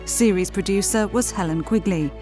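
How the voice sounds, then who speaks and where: A woman's voice: medium pitch, moderate pace, mellow, faint music behind. A woman announcer giving a scripted read of closing credits.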